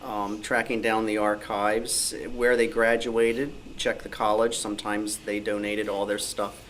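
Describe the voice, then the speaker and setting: A man's voice: on the low side, flat delivery, unhurried, fairly bright tone. A man speaking as an author and researcher, telling anecdotes in a panel talk at a club meeting. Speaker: author and researcher of old-time radio, panel guest. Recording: clearly noisy live room.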